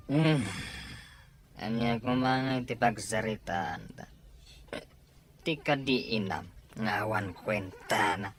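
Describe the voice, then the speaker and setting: A man playing a drunken, irresponsible middle-aged father in a radio drama, with slurred, halting, groaning speech. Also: on the low side, a bit bright, a little hiss or hum. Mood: drunk, dismissive. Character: drunken, irresponsible, ailing father of several children. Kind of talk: Ilocano radio drama acting.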